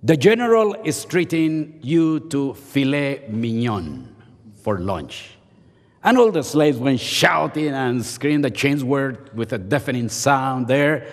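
Older man, preaching slowly. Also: mid-range voice, sing-song. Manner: playful, self-mocking, dramatic pauses. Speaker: seminary professor and chapel speaker. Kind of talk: humorous chapel sermon. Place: large seminary chapel auditorium. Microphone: podium microphone. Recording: long hall reverb.

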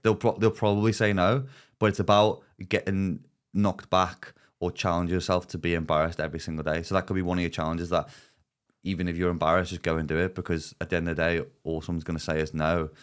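A man's voice: sits low, flat delivery, quick pace. A man in his thirties co-hosting a podcast, giving emphatic advice and restarting his sentences. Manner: opinionated, emphatic, repeats words when starting. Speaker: podcast co-host. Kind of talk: casual chat. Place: small podcast studio, indoors. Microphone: studio mic on arm, headphones.

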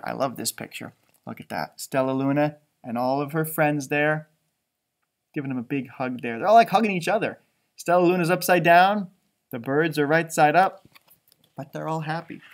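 American male storyteller, thirties, swooping intonation, chatty children's read-aloud. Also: on the high side. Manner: animated, expressive, wondering. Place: indoors, a library with bookshelves.

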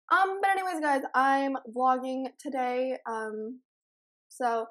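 A young woman with a slightly high, raspy voice gives relaxed let's-play commentary into a desk mic. She rambles, giggles and fills her pauses with ums. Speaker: gaming channel host.

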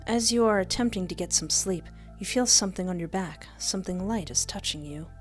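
Expressive woman streamer over game music. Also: on the low side, brisk. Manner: playful, expressive. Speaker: gaming streamer. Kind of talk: let's play, reading game text aloud. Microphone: close desk microphone with headset.

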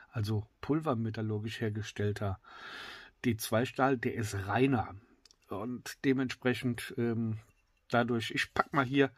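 A middle-aged man with a slightly congested voice, reviewing a knife in a casual, filler-heavy way that often drops to excited whispers. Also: lowish voice, no room sound. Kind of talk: casual tabletop product review. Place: indoors at a wooden table.

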